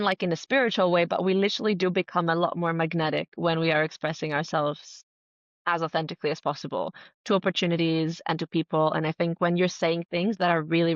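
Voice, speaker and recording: Earnest low-voiced woman from Greece. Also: no echo, lo-fi sound. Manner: earnest, enthusiastic, frequent emphatic "like" and "really". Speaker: podcast guest, writer and entrepreneur. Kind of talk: casual conversational interview answers.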